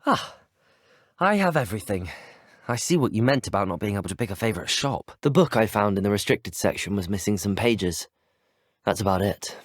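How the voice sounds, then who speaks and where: A man voicing a polite, earnest British student wizard in a video game, speaking in an articulate, measured way. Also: fairly low pitch, lively intonation, no echo, clean studio sound. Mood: thoughtful. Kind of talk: video game character dialogue.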